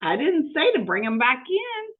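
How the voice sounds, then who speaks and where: A woman's voice: high-pitched, wildly swooping pitch, normal speed, pitched above the performer's own voice. A woman voicing a cheerful, literal-minded housekeeper in a storybook, earnest and innocently helpful. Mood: earnest, pleased. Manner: earnest, chirpy, matter-of-fact. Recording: muffled narrow phone-like audio.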